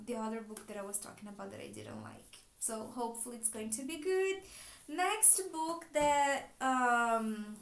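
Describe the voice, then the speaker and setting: High-voiced Brazilian woman chatting about books. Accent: Brazilian Portuguese. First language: Portuguese. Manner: chatty, thinking aloud, friendly. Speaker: book vlogger and private teacher. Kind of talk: casual book haul vlog. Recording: echoey room.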